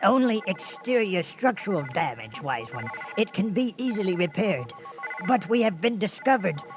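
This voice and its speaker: An obedient alien soldier played by an actor from a comic radio play. The speech is formal, precise and deferential, with swooping pitch, and music plays behind it. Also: sing-song, normal speed, close to an everyday voice. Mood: matter-of-fact. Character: dutiful alien beaver underling, precise and obedient. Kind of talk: old-time radio comedy drama acting.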